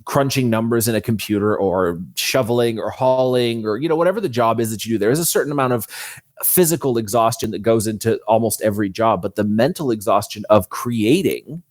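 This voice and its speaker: A man's voice: fairly low pitch, fairly quick, a little mellow, no echo, clean studio sound. A man speaking as a guest author in a conversational interview, explaining things earnestly.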